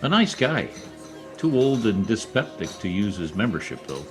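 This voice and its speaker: A man playing a bashful, folksy reporter courting his sweetheart in an old-time radio comedy, with a hesitant, halting manner. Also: fairly low pitch, sing-song, soft background music. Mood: breezy, jovial. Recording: video-call radio play recording.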